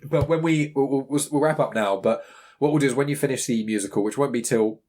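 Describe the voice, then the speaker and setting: Man, British accent, quick pace, chatty rambling podcast host, casual conversation. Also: mid-range voice, darkish tone.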